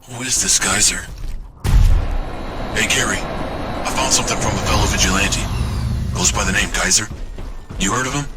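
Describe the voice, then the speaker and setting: A man's voice: fairly low pitch, moderate pace, long pauses, over loud music, close to an everyday voice. A man playing a brash, crude but loyal young vigilante in a video game, with a cocky, slangy way of talking. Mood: questioning. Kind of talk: cinematic video game dialogue.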